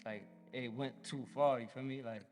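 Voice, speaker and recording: A man's voice: medium pitch, fairly even intonation, moderate pace, big-room sound, music in the background. A man guesting on a radio show, talking casually in laid-back slang. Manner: laid-back slang, says "you feel me" a lot. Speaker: guest artist. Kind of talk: casual interview chat.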